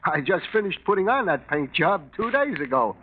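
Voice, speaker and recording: On a tinny old radio recording, a desperate, flustered man with a very high voice. He stammers and protests, his pitch swinging widely, in forties mystery style. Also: very animated, moderate pace. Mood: nervous. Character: anxious, desperate small-time garage owner, male. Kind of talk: 1940s radio acting. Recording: tinny, faintly noisy 1940s radio recording.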